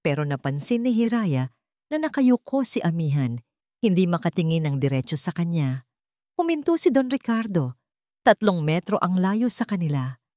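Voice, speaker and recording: Expressive, lowish-voiced woman storyteller. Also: no echo, studio quality. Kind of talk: scripted dramatic story narration.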